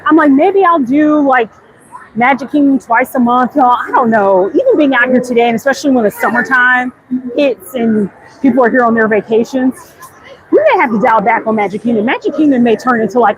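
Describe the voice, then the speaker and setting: An American woman hosting a casual walking livestream, chatty and enthusiastic, slangy in the way she addresses viewers. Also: fairly high pitch. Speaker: vlog host. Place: walking outdoors in a busy theme park. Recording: live outdoors with clear background noise. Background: theme park ambience.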